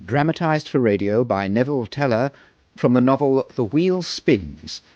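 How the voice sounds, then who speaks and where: A man's voice: medium pitch, big pitch swings, normal speed, a little mellow, no echo. Dry, slightly muffled male radio announcer. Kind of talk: radio drama credits announcement.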